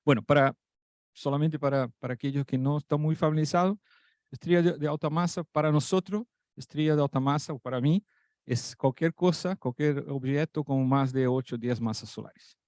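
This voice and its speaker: This is a man with a Portuguese accent whose first language is Portuguese, giving a chatty academic talk in a lecture room. His voice sits fairly high for a man, and the sound is dry and close. He fills pauses with "eh" and often corrects himself mid-phrase. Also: darkish tone, no echo. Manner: casual and chatty, with many fillers and self-corrections. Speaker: guest speaker.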